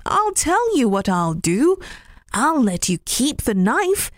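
A woman voicing a generous, gentle male rabbit in a storybook reading, with a high, singsong manner. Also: sing-song, slow, no echo, studio quality. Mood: bargaining.

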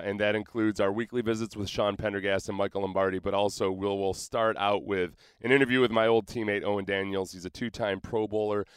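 A man's voice: lowish voice, smooth, no room sound, clean studio sound. A man in his forties hosting a podcast, chatting casually as an interviewer. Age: 40s. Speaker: former professional football player. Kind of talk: casual chat.

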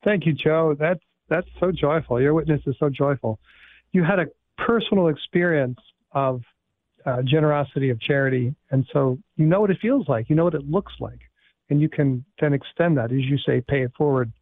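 A man, a priest, a guest on a radio talk show, explaining things in a calm, measured way. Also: fairly high pitch, no echo. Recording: thin phone-quality line.

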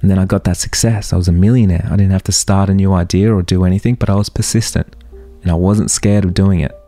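A man's voice: low voice, fairly even intonation, fast talker, dark tone, faint music behind. A man in his thirties hosting a solo motivational podcast, talking earnestly and firing off rhetorical questions. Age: 30s. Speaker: podcast host.

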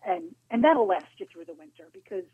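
A woman speaking as an auto club spokesperson, giving measured, practical advice with a few hesitations over the phone. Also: medium pitch, animated. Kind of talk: informative interview answers. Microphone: phone line. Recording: tinny phone line.

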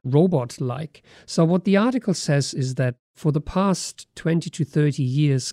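A man's voice: medium pitch, dark tone, no room sound, clean studio sound. A man co-hosting a podcast, discussing in a casual way and asking rhetorical questions. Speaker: podcast co-host.

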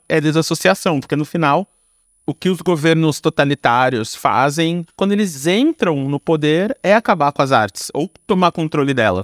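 A man's voice: fairly high pitch, dry recording, studio quality. A man in his forties with a Brazilian accent, talking casually and reflectively as an interview guest, using slang and everyday examples. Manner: informal, slangy, explains through examples. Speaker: podcast guest, storyteller and creator.

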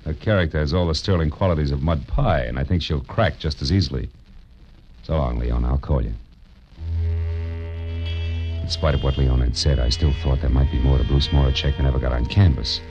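A man's voice: a bass voice, quick pace, darkish tone. A man playing a tough, wisecracking American private detective in 1940s radio drama style, with clipped, slangy delivery. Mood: urgent, firm. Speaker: lead actor and first-person narrator. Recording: dull vintage radio recording with music.

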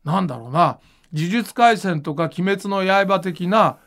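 Animated high-pitched man with a creaky voice. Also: a little slow. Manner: animated, musing, informal. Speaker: podcast host and manga creator. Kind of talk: casual explanatory chat.

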